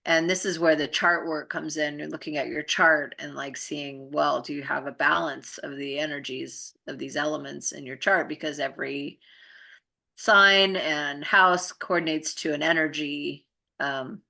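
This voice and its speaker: Middle-aged female co-host, earnest explainer in a casual chat; low register, forward bright tone. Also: steady tone, unhurried, gravelly. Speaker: co-host and healing arts practitioner.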